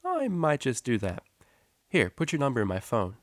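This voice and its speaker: A man's voice: mid-range voice, huge pitch swings, moderate pace, dry recording, studio quality, pitched above the performer's own voice. A man voicing a moody young female unicorn student and DJ, curt and slangy, in a story reading. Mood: surprised, casual.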